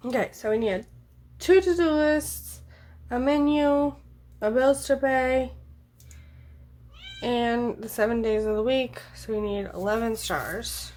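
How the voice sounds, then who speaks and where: A woman with a froggy, hoarse voice chatting casually as she decorates a planner, thinking aloud and counting under her breath. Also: fairly high pitch, takes it slowly, pauses often, a little mellow. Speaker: planner vlogger. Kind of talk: casual talk while drawing a journal spread.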